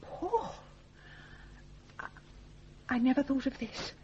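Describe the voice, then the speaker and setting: Guileless young lady, polite, tentative, lightly high voice, muffled radio play, faint noise. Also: animated, slow, mellow. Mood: gentle, surprised. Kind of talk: radio drama acting.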